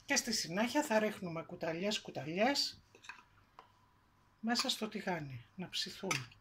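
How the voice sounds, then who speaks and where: A woman hosting a home cooking video, calmly walking through the ingredients and steps in her kitchen. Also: mid-range voice, slight background noise. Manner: calm step-by-step narration, listing ingredients. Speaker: cooking show host.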